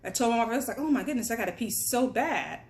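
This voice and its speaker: A woman's voice: on the high side, lively intonation, fast, slight background noise. A young woman from Guyana, pregnant and on bed rest, telling a candid personal story in a casual chatty way while sitting at a table at home. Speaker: vlogger. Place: home, at a table among boxes.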